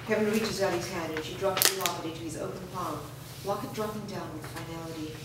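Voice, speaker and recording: A woman's voice: lowish voice, fairly even intonation, quick pace. A woman reading stage directions as scripted narration at a staged screenplay reading. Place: live staged reading in a reverberant room. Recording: distant, very echoey room, background noise.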